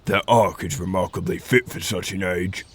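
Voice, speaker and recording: A grave veteran wolf chief played by a man with a low voice. He speaks solemnly and with measured authority, like a father leading his pack in a fantasy audio drama. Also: steady tone, slightly rough, no echo.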